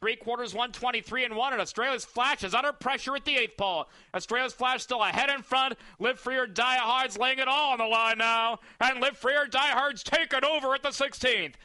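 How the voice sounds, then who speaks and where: Man calling a race, high pitch, very bright tone, rapid delivery, dry close sound. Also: smooth, dry recording. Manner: rapid, building excitement. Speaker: race caller. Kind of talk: live race call.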